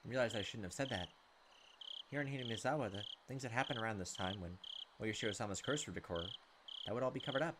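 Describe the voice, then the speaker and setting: A man's voice: lowish voice, quick pace, background noise. A young man reading visual novel text aloud and commenting as a let's play host. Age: young adult. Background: game music.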